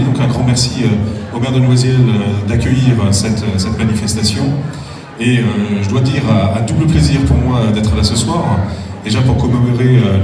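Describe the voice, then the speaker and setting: Male mayor, halting public speech, far off in a reverberant hall with music behind. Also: medium pitch. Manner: hesitant, many fillers and restarts. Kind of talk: formal public speech at an event. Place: large hall at a celebration.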